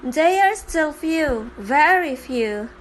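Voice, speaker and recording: A woman's voice: sits high, lively intonation, slow, a little hiss or hum. A woman giving a scripted dramatic reading for a fan comic dub, voicing the dialogue and narration herself.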